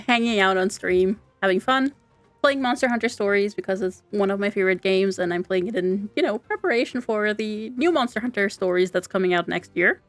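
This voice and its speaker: A woman's voice: medium pitch, no echo. A young woman from Austria with an Austrian German accent, German native, chatting casually and giggly on a game stream. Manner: chatty, giggly, halting with restarts. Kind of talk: casual live-stream chat. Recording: dry close mic, quiet game music.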